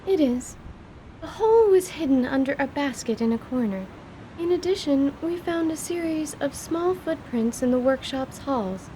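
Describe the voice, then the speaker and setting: A woman performs an earnest female surgeon in a fantasy radio drama. Her voice sits fairly high, and her wording is formal and careful. There is noticeable noise behind her. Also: animated, normal speed, warm tone, low-quality recording. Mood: apologetic, earnest. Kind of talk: radio theatre character acting.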